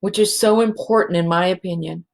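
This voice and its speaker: A woman hosting a spiritual show, speaking in a halting, emotional way and close to tears. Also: on the low side, fairly even intonation, vocal fry. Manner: slow, halting and emotional, many restarts. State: teary. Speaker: show host, healing facilitator. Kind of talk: heartfelt spiritual show monologue.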